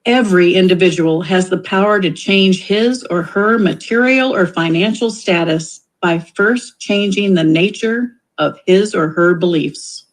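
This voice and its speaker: Narrator: adult woman, calm even read, mid pitch, scripted book intro. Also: unhurried, few pauses, rough recording.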